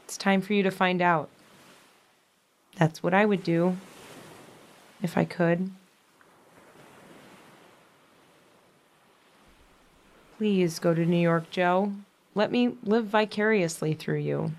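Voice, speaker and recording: A woman acting in a modern audio drama as a gentle, ailing young sister, earnest and reflective, with quiet resolve. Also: lowish voice, a little slow, pauses often. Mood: firm, urging.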